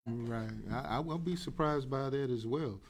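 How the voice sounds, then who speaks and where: A man's voice: mid-range voice, normal speed. A man hosting a radio interview, asking playful, teasing questions. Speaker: radio show host.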